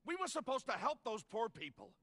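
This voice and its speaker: An actor playing an earnest, idealistic and easily indignant scientist in a video game, speaking emphatically. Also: male voice, extremely high pitch, big pitch swings, brisk, bright, forceful tone, no echo, exaggerated voice.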